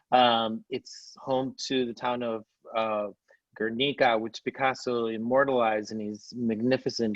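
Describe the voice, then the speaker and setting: A Spanish-born man gives an enthusiastic travel presentation over a video call, adding fillers as he goes. His voice sits at an everyday pitch for a man. The call audio is dry and dull, with no room sound. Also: no room sound. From Spain. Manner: enthusiastic, descriptive, with frequent fillers. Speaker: tour company CEO presenting.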